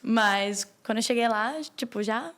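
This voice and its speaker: A woman's voice: fairly high pitch, steady tone, a little slow, a bit bright. A young woman from Brazil, a podcast guest, chatting casually in a rambling way. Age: young adult. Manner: rambling, many fillers and restarts. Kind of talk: casual chat.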